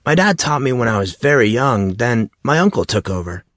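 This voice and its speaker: A man's voice: medium pitch, sing-song, a little fast, fairly bright tone, no room sound, clean studio sound. A man voicing a laid-back teenage boy who is keen on martial arts and talks in casual dude-and-bro slang.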